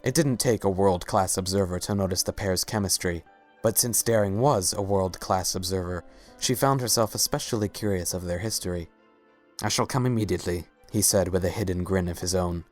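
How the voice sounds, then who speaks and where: A man's voice: low voice, brisk, darkish tone, faint music behind. A man narrating a scripted story as a dramatic reading.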